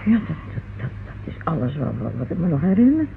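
An actress playing an anxious, drowsy older housewife in a radio play, speaking haltingly and repeating words. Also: female voice, deep voice, sing-song, vocal fry, full character voice. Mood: dazed, halting. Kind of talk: old radio play acting. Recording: noisy, muffled phone-like old radio recording.

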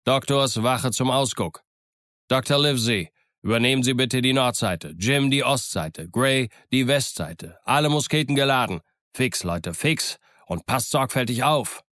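A man performs a stern sea captain in an adventure audiobook. He speaks in clipped, curt commands, firm and somewhat raised in pitch, with a close dry sound. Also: unhurried, fairly bright tone, dry recording, close to an everyday voice. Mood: commanding. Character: stern, brusque male ship's captain giving orders.

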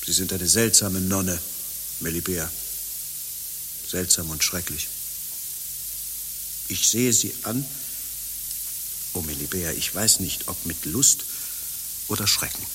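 An actor playing a timid, tormented runaway monk in his forties in a radio play, halting, overwrought and apologetic. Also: low-pitched. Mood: troubled awe. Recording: hissy, humming recording with long reverb.

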